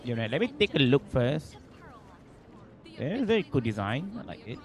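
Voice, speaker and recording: A man's voice: medium pitch, sing-song, moderate pace, faint music behind. A man livestreaming casual gaming commentary, informal, enthusiastic and rambling.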